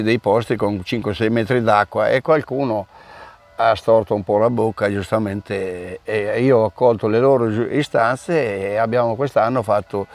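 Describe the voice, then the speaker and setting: A middle-aged man, the event organizer, explaining at length in an outdoor interview with frequent restarts. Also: medium pitch. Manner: hesitant, restarts often, storytelling. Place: outdoor park by a car park.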